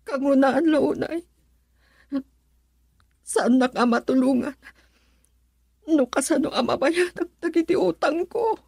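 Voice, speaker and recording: A woman's voice: high-pitched, slow pace, gravelly, pauses often, darkish tone, dry recording, clean studio sound, full character voice. A Filipino woman playing a troubled, despairing wife in a radio drama, with plaintive, melodramatic delivery. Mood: troubled, reluctant. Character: troubled debt-ridden wife Rosela, anguished and despairing.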